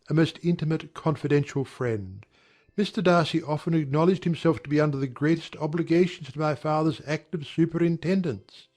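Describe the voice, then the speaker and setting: Guy playing a young gentleman with a hard-luck grievance, earnest n proper, audiobook drama, slightly high voice. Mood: confiding, earnest. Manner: formal, measured, earnest period speech. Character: young gentleman airing polite, wounded grievances. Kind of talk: dramatized audiobook character acting.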